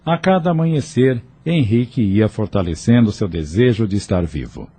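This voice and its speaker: A male narrator reads a scripted audio novel dramatically. His voice is husky and warm-dark, with very lively intonation that carries the story. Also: lowish voice, normal speed.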